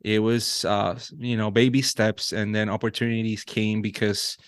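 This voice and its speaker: Puerto Rican man, 30s, native Spanish speaker, earnest podcast guest, lowish voice, dry close sound. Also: flat delivery, moderate pace, clean tone, no echo. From Puerto Rico. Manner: earnest, frequent restarts and fillers. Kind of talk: casual interview chat.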